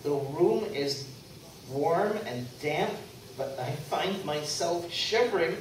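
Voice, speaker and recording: A man's voice: fairly high pitch, lively intonation, slow pace. A man playing a naive, earnest young reporter narrating a mock documentary radio play. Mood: mock-serious. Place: convention room, live stage performance. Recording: very echoey, noisy live room, distant mic.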